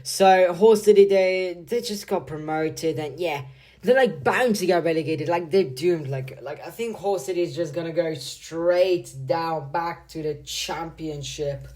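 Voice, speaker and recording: A man's voice: on the high side, measured pace, darkish tone. A man with a slight Hungarian accent, giving casual football predictions in a vlog, rambling and leaning heavily on "like" and "bro". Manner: rambling, filler-heavy, says "like" and "bro" a lot. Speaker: football vlogger.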